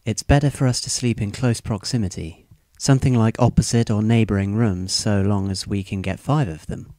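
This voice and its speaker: A man playing a polite, composed teenage schoolboy in an audio drama, speaking calmly and courteously. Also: fairly low pitch, normal speed, no room sound, natural voice. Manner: courteous, calm. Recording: music behind a dry voice.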